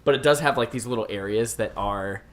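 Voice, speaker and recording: A man's voice: fairly low pitch, a little fast. A man co-hosting a gaming podcast in casual, conversational chat with friends. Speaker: podcast co-host.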